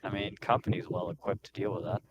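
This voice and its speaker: Blunt, cynical spaceship pilot for a tabletop sci-fi game, played by a man, low-pitched and grumbling, over a noisy home mic. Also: steady tone, fast talker, lightly acted. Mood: grumbling, suspicious. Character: gruff, cynical, foul-mouthed male spaceship pilot. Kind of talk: in-character tabletop roleplaying.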